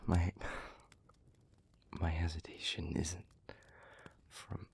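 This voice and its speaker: A man playing a gentle, remorseful demon in an intimate audio roleplay, speaking in a breathy near-whisper with halting, earnest care. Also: sits low, completely flat delivery, full character voice. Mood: hesitant, earnest. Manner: halting, earnest, careful, with long pauses.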